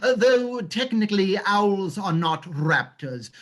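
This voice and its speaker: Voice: mid-range voice, animated, slow. An actor playing a pompous, drunken, narcissistic owl in a stage script reading, with a florid, hammy delivery. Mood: grandiose.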